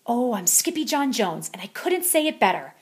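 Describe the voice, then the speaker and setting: A woman voicing an excitable boy kitten who believes he is a chihuahua, in a children's storybook. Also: fairly high pitch, normal speed, slightly rough. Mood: bouncy.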